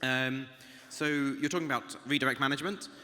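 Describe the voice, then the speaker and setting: A British man running a panel on a conference stage, his voice bright and forward. He speaks into a headset, with a long hall reverb and faint ambience around him. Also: medium pitch, steady tone, a little fast. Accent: British English. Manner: light, laughing, stumbles over names. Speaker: panel moderator.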